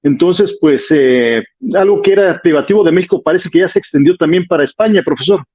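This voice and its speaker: A man from Mexico City with a Mexican accent, a radio host interviewing a professor, halting with many fillers. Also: on the high side, darkish tone, muffled recording. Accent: Mexican Spanish.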